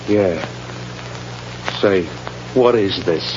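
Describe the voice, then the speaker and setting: An actor playing a tough but easily rattled gangster in an old radio drama, talking in agitated slang. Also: male voice, deep voice, extremely slow. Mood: defensive, rattled. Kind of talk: 1930s-40s radio drama acting. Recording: noisy, muffled old radio recording.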